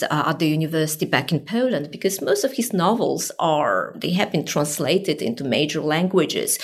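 A woman academic whose first language is Japanese, answering interview questions carefully and often stopping to find the right word. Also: low voice. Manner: careful, searching for words. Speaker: academic guest.

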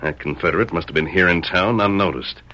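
An actor playing a calm, noble masked cowboy hero with measured, formal speech in a radio Western. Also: male voice, low voice, rough recording. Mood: calm, explaining. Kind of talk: old-time radio Western acting.

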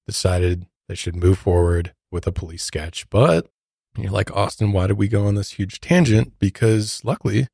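A man's voice: lowish voice, gravelly, darkish tone, no room sound, studio quality. A man co-hosting a true crime podcast, chatting casually and speaking directly to the listeners.

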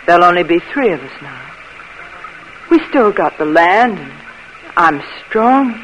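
An earnest young devoted wife. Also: female voice, on the low side, measured pace, a little hiss or hum, poor audio. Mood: hopeful. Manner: earnest, rising to breathless excitement. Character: young devoted wife awaiting her husband. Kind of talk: 1940s radio acting.

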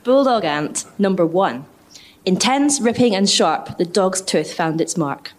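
A woman's voice: medium pitch, expressive, measured pace, slight background noise. A woman reading aloud dramatically as a podcast panellist.

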